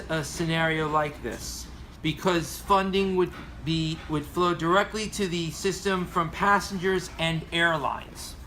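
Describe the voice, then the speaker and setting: A man delivers earnest prepared commentary in a somewhat high, very bright and forceful voice. He reads as a presenter, now and then tripping over a word. There is faint noise behind him. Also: low-quality recording. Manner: earnest, occasionally stumbling over scripted words. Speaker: aviation commentator presenting a show segment. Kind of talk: prepared commentary read aloud with informal asides.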